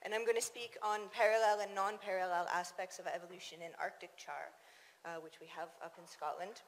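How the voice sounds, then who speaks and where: A woman from Toronto with a Canadian accent, giving an academic conference talk in a measured, hesitant way in a large auditorium. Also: lowish voice, fairly even intonation. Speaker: scientist presenting at a conference. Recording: reverberant auditorium, long hall echo.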